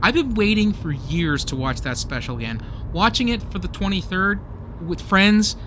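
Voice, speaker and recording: A man's voice: high voice, sing-song, normal speed, background noise. A man playing an apologetic, nostalgic underdog friend in an audio comedy-drama, speaking in a hesitant, conciliatory way. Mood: dejected, wistful.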